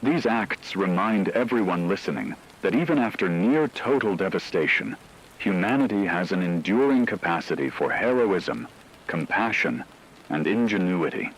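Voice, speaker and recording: A man playing a grave radio announcer, reading a scripted disaster broadcast in a solemn, measured way. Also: deep voice, animated, breathy edge, poor audio. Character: grave male radio announcer reporting on an apocalyptic aftermath. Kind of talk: scripted fictional emergency radio broadcast narration.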